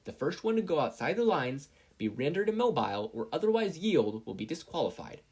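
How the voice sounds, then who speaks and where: Man voicing a commanding female fight referee, pitched up. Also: big pitch swings, fairly quick, darkish tone, husky, exaggerated voice. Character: bold, authoritative female referee announcing a fight.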